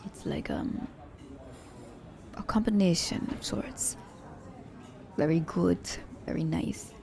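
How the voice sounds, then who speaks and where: Slow, low-voiced woman acting a teasing assassin. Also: wildly swooping pitch, vocal fry, warm tone, heavily acted. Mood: teasing, relaxed. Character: confident, self-declared selfish young woman assassin, teasing her captive. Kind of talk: ASMR romance roleplay acting.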